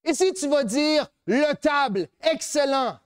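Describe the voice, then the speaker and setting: A middle-aged man with a New Brunswick French accent giving an animated motivational speech, asking rhetorical questions and switching between French and English. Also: very high voice, slow, dry recording, clean studio sound. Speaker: keynote speaker on French-language education.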